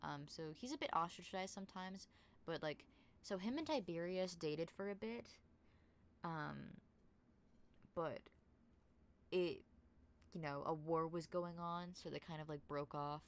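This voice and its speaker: A woman's voice: fairly low pitch, unhurried, pauses often, clear, resonant tone. A young woman in casual voice-call chat as a tabletop game player, rambling with many fillers. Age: young adult.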